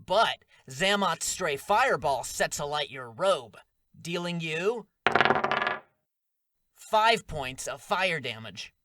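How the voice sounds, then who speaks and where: A man's voice: a little high, animated, sharp tone, heavily acted. A man playing a nerdy, grandiose tabletop game master in an audio drama, with theatrical delivery. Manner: theatrical, drawn-out.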